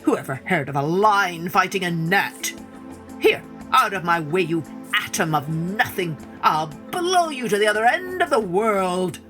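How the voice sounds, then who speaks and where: A woman's voice: on the low side, expressive, a little slow, a bit bright, faint music behind. A woman voicing a proud male lion, king of the forest, with scornful, haughty declarations in a storybook tale. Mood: mocking.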